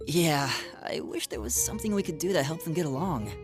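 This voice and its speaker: Animated, earnest student boy. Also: on the high side, brisk, music in the background. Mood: friendly, concerned. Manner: casual, chatty. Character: friendly, earnest, modest teenage student boy. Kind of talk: video game voice acting.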